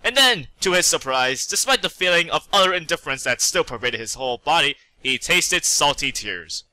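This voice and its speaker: A man's voice: on the high side, animated, normal speed, sharp tone, slight background noise, poor audio. A man reading fan fiction aloud as a storyteller, with dramatic narration.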